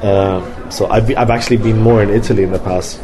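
A man who grew up in London, a film director answering interview questions in a halting way, with many fillers and restarts. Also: lowish voice, fairly even intonation. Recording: crowd noise behind.

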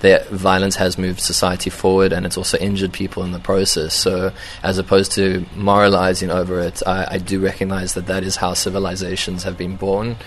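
A man's voice: low voice, monotone, fairly quick, hardly any pauses, a little hiss or hum. A man, a film director, answering interview questions in a thoughtful, hesitant way with many ums and restarts. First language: English. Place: event venue.

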